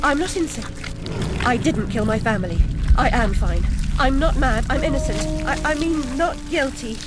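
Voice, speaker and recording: A woman's voice: sits high, lively intonation, normal speed. A woman playing an anxious, defiant and desperate young woman in a video game, urgent and insistent. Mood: frantic denial. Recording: voice under loud game music.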